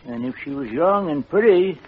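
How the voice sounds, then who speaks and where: An American actor playing a chatty, simple, folksy elevator operator in a 1950s radio drama, earnest and slow-witted. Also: male voice, fairly high pitch, expressive, slightly rough, phone-quality sound. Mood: earnest, dim. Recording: thin, noisy old radio recording.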